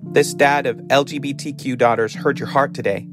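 A man's voice: medium pitch, fairly even intonation, moderate pace. A man giving a prepared, supportive message as a podcast listener. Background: guitar music.

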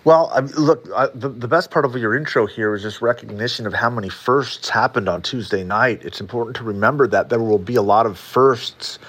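A man's voice: mid-range voice, a little fast, few pauses, fairly bright tone, husky. A man commenting on politics on a radio panel, emphatic, stumbling over restarts as he starts his points.

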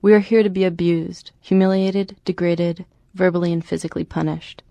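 Even, gravelly woman reporting a radio story. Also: lowish voice, normal speed, no room sound, muffled recording. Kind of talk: scripted radio story narration.

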